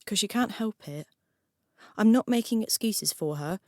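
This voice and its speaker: An actress plays a young Cornish woman, sensitive and a little lonely, with a mid-pitched voice. She confides reflectively in an audio drama monologue, recorded very dry and close. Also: expressive, normal speed, no echo, close to an everyday voice. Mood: resigned, reflective. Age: young adult.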